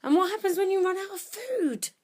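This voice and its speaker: An actress playing a tough, urgent woman survivor in an audio drama, speaking tersely and commandingly, often hushed. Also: extremely high pitch, lively intonation, a little fast. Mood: firm, guarded. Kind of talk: audio drama acting with first-person narration.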